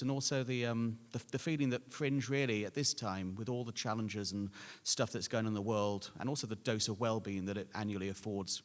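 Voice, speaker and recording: A man giving an informal, reflective and rambling speech as an award presenter. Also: on the low side. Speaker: festival patron and award presenter. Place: large indoor hall at an awards event. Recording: echoey reverberant hall.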